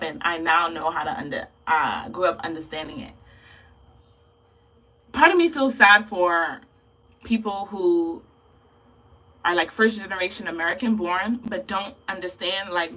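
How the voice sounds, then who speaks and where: A young woman from Ghana talks casually to her camera, thoughtful and laughing often. Her voice is bright and forceful, and she sits a little back from the mic. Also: on the low side, distant mic, rough recording. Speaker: beauty vlogger.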